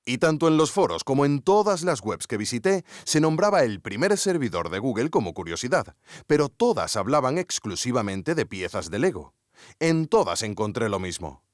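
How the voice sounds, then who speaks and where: A man's voice: mid-range voice, sing-song, moderate pace, no room sound, studio quality, close to an everyday voice. An actor from Spain playing a wry, curious male investigator narrating a podcast, with ironic conversational asides to the listener. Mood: curious, confiding. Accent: Spanish from Spain. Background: music.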